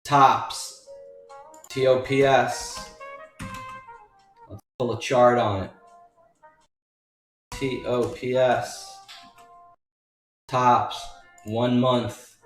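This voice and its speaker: A man with a mid-pitched voice talks slowly through a trading walkthrough, repeating points for emphasis in an informal way. He sounds set back from the microphone in an echoey room. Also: fairly even intonation. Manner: emphatic, repetitive, addressing viewers as folks. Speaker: stock trading educator and newsletter writer. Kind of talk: informal stock chart walkthrough. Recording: roomy echo, distant mic, faint music.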